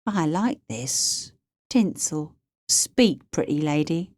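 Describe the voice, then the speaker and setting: Woman as softly menacing male thug, low. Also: lively intonation, takes it slowly, no room sound, studio quality, heavily acted. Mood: soft, gloating. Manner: soft-voiced menace. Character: tall sinister male thug, softly threatening. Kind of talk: audiobook character voice.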